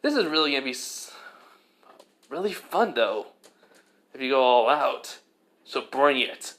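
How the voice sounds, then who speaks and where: A man's voice: medium pitch, animated, unhurried, fairly bright tone. A man voicing a cocky, easygoing young male fighter with dragon fire in a casual, offhand story telling. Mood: cocky taunting.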